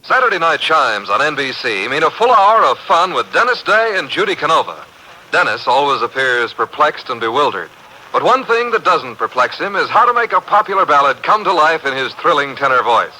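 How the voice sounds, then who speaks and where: Bright, punchy vintage radio announcer man. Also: a little high, very animated, fairly quick, slight background noise, rough recording. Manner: bright, emphatic promotional delivery.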